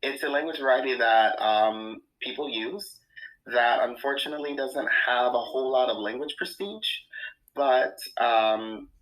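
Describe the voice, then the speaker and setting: Bright-voiced man explaining language. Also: medium pitch, low-quality recording. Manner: measured, thoughtful, with many ums. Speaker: language expert. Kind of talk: explanatory interview answer.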